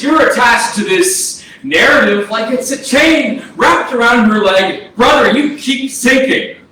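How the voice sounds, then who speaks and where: A man's voice: sits high, bright, forceful tone, exaggerated voice. A man playing a bitter, accusing dead brother who swears and confronts, in a staged play reading. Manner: profane, accusing, dramatic. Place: arts centre theatre. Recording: echoey stage, distant mic, faint noise.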